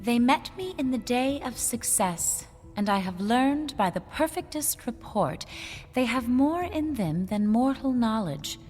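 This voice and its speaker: An actress in a radio drama playing a stage performer declaiming as a regal Lady Macbeth type in measured, theatrical delivery. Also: on the high side, lively intonation, darkish tone. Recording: reverberant theater hall, faint music.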